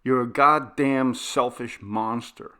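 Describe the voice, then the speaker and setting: Scornful woman for horror audiobook, played by a male reader: biting, forceful, accusing delivery. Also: mid-range voice, fairly even intonation, takes it slowly, clear, resonant tone. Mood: scornful anger.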